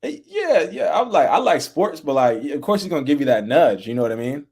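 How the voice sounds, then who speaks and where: A young man talking casually as a podcast guest, relaxed and full of fillers, raised in the American Midwest. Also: a little high, fairly quick, breathy edge. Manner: relaxed, laid-back, many fillers like "like" and "you know". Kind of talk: casual interview chat.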